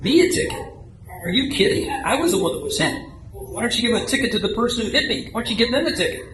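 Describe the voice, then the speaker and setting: A man performs a flustered husband in a radio play, protesting his innocence in stammering bursts. His voice sits high, and the recording is echoey with clear background noise. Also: sing-song, fast talker. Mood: indignant, flustered. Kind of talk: amateur radio drama reading.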